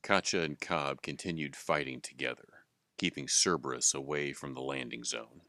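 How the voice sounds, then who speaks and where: A man's voice: extremely low pitch, fairly even intonation, normal speed, pushed and bright, dry recording, clean studio sound. A man reading scripted story narration as the narrator of a tale.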